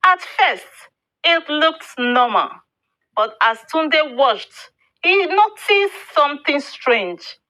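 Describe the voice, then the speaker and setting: A woman narrating a scripted folktale in a deliberate, expressive storybook manner. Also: high-pitched, poor audio.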